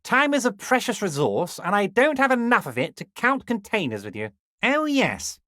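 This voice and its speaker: Scheming, chuckling middle-aged union boss, folksy and condescending, with a lively swooping delivery and bone-dry studio sound. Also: male voice, high-pitched, normal speed, no room sound, heavily acted. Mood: sly, jovial. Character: smooth, scheming, jovial male union boss. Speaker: video game character. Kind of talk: game dialogue voice acting.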